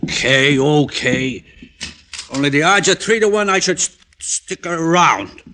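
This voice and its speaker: An actor playing an oddball, slow-witted gambler henchman in comic 1940s radio style. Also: fairly high pitch, animated, sharp tone, a little hiss or hum, heavily acted. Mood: grudging.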